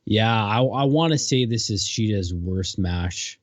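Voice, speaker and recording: A man co-hosting a podcast, speaking in a hesitant way and pausing to search for words. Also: on the low side, animated, no room sound. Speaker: podcast co-host. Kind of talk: casual chat. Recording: dull dry lo-fi mic.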